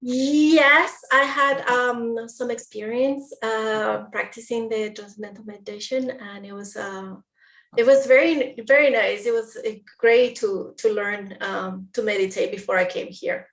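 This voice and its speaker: A woman from Peru with a Peruvian Spanish accent, a guest in a casual interview, speaking haltingly as she searches for words. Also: on the high side, a bit bright. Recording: roomy audio from a distant mic.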